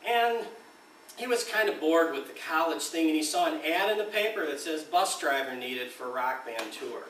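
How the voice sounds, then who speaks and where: A man's voice: a little high, lively intonation, normal speed, fairly bright tone, a little hiss or hum. A man, an amp designer, telling a rambling story in casual talk, often laughing and acting out what others said. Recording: roomy and distant with faint ambience.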